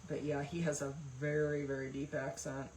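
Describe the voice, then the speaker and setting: A woman's voice: sits low, monotone, normal speed, a little mellow, a little hiss or hum, rough recording. A woman hosting a craft livestream, chatting casually and rambling with lots of fillers while she paints. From West Virginia, USA.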